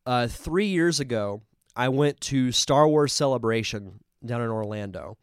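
A man's voice: mid-range voice, expressive, measured pace, smooth, dry recording, studio quality. A man with a congested voice hosting a live podcast, chatting casually and enthusiastically as he reviews a film. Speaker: podcast host.

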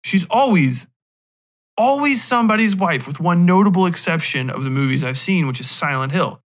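A man's voice: high-pitched, animated, normal speed, fairly bright tone. A man, thirties or older, hosting a podcast in a casual, rambling monologue, cutting himself off with wry, sometimes profane asides. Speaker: podcast host.

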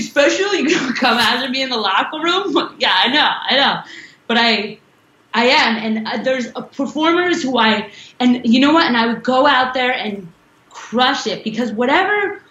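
A woman's voice: a little high, fairly bright tone, reverberant, poor audio. A woman guest on a podcast interview, chatting casually in a rambling, giggly way with a strong voice. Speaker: musician guest.

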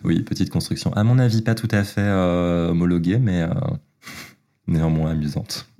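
Guy, super deep mellow voice, lots of euh, laughs a lot. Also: fairly even intonation, normal speed. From Belgium. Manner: hesitant, many fillers, laughs easily. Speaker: guest, drag artist and fashion designer. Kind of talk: casual interview talk.

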